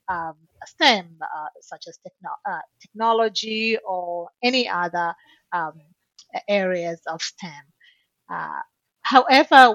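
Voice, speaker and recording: A middle-aged woman from Tanzania, a podcast guest telling her story in a halting way with many fillers and restarts. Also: mid-range voice, fairly bright tone, no echo, muffled recording. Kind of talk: conversational interview answer.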